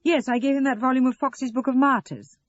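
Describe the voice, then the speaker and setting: A woman voicing a curious, perceptive man in an audio story, questioning, reasonable and gently needling. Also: high voice, steady tone, a little fast, dry recording, muffled recording, voice pushed up, lightly acted. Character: curious, perceptive man, mildly long-suffering houseguest.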